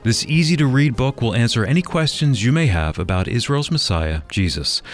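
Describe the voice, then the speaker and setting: A man's voice: mid-range voice, expressive, moderate pace. An American man hosting a radio show in a scripted announcing style. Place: dry studio.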